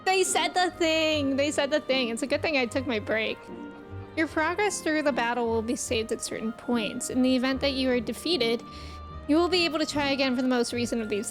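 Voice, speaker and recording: A woman live-streaming a game, chatting casually and reading aloud in a playful, expressive way. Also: high-pitched. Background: game music.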